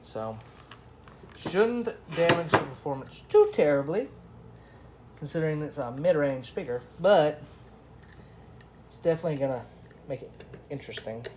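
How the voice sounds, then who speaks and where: A man's voice: a little high, lively intonation, normal speed, long pauses, a little mellow, slight background noise. A man giving a casual, unscripted product review, talking informally to his viewers. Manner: informal, addresses viewers as y'all and guys.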